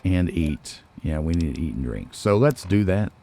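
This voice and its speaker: A man's voice: low voice, animated, normal speed, gravelly, dark tone. A man giving casual let's play commentary, musing and thinking aloud as he goes. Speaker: let's player.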